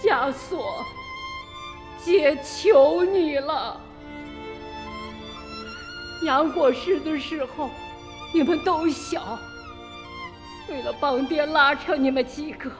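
A stubborn, anguished old village woman with a very high voice, speaking slowly and emphatically in a radio drama. She pours out her feelings for her son, with faint music behind her. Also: long reverb tail. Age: older. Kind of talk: Chinese radio drama acting.

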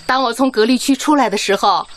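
An actress playing a cheerful, warm-hearted head nurse in a radio drama, exclamatory, brisk and affectionate. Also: female voice, on the high side, heavy background noise, close to an everyday voice. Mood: joyful, bashful. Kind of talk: Chinese radio drama acting. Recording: loud music and crowd behind.

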